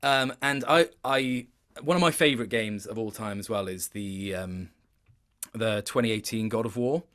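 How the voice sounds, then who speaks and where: A man's voice: fairly low pitch, moderate pace, a bit bright. A man chatting casually as an interview guest, reflective and hesitant as he thinks aloud. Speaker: guest, game voice actor.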